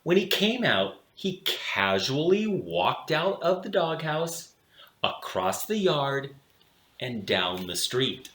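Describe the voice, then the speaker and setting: A man reads a children's storybook in a theatrical, highly animated way. He takes his time, pausing deliberately for drama as his pitch rises and dips. The room adds a noticeable echo around his voice. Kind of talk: expressive read-aloud of a children's picture book. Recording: roomy, echoing room sound.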